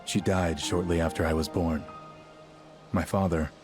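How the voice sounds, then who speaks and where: An actor playing a cold, enigmatic elite soldier in a video game cutscene, speaking in a measured, detached way. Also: male voice, deep voice, fairly even intonation, natural voice. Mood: detached musing. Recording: loud music behind the voice.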